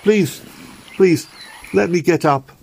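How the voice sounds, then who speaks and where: A man voicing a distressed poor little girl who pleads urgently in a high, tearful voice. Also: steady tone, normal speed, close to an everyday voice. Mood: pleading.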